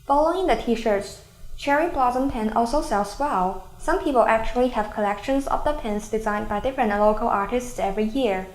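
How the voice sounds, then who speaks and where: A young woman reports for a student newscast in a fairly high voice. She reads scripted stories and speaks to viewers in stand-ups. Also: moderate pace, low-quality recording. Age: young adult.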